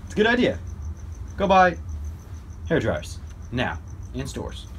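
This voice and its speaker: A man playing a brash, pushy, insulting pitchman in a comic radio advertisement, loud and badgering. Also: wildly swooping pitch, takes it slowly, slight background noise, full character voice. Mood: pushy, excitable.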